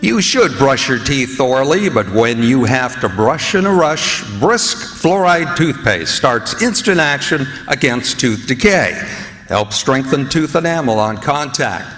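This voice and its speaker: Animated male radio announcer in a hall. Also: mid-range voice, measured pace. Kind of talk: old-time radio announcing, scripted credits and sponsor read. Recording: hall with long reverb.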